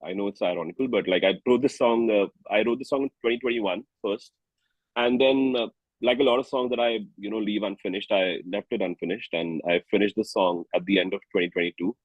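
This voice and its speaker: A man with an Indian accent speaking reflectively as an interviewed singer-songwriter, haltingly and often rephrasing himself. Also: fairly low pitch, slightly rough, no echo, phone-quality sound. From New Delhi, India.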